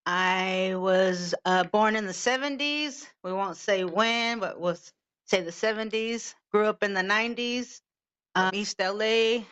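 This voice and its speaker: Smooth-voiced laid-back woman in her fifties. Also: mid-range voice, unhurried, a bit bright. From Los Angeles, California. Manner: laid-back, chatty, swears casually. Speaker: podcast co-host. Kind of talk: casual chat. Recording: dull lo-fi sound.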